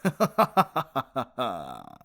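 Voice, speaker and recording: A man playing a pompous, easily exasperated supervillain in an ASMR roleplay, theatrical and sarcastic with a softer caring side. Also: medium pitch, huge pitch swings, drawn-out words, creaky, heavily acted. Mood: grandiose gloating. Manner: pompous mock-grand monologuing that slips into flustered sarcasm. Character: theatrical gloating male supervillain, exasperated, secretly caring nemesis.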